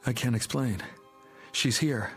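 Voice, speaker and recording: A man's voice: mid-range voice, a little slow, faint music behind, close to an everyday voice. An actor playing a frantic father in a radio drama, speaking with urgent emotion. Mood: urgent worry. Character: frantic father whose small daughter has vanished.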